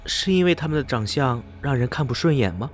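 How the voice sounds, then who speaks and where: A man's voice: mid-range voice, lively intonation, brisk, a little hiss or hum, close to an everyday voice. An actor playing a curious, rational male traveler in a radio drama, speaking politely and analytically.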